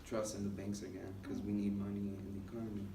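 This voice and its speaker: A young man from the United States giving an informal student presentation in a classroom, speaking haltingly with many filled pauses. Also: on the low side, monotone. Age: young adult. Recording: roomy, noisy classroom recording from a distance.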